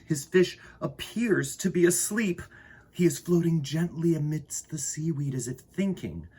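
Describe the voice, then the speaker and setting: Male storyteller, children's picture book, lively melody, unhurried, breathy. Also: on the high side. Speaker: storyteller, host.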